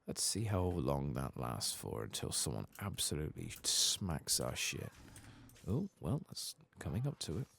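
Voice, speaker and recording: Male gaming streamer, soft-spoken and half-whispered, gravelly with lively swings in pitch, casually sweary running murmur. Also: measured pace. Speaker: streamer playing a video game.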